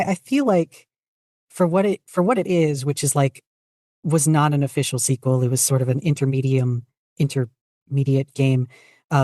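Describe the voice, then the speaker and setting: A woman's voice: extremely low pitch, dark tone, dry recording, studio quality. A woman chatting casually as a podcast guest, rambling along with self-deprecating humor. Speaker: podcast guest, fanfiction writer. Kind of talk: casual chat.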